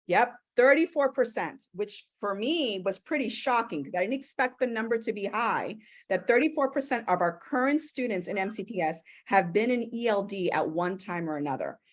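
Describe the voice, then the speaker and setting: A woman's voice: mid-range voice, lively intonation, normal speed, hardly any pauses. A woman hosts a webinar for coworkers over a video call. Her voice sits in an ordinary middle register, and she talks in a friendly, upbeat way with easy fillers between thoughts. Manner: chatty and upbeat, with frequent fillers. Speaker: presenter of a training session. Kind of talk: casual webinar presentation.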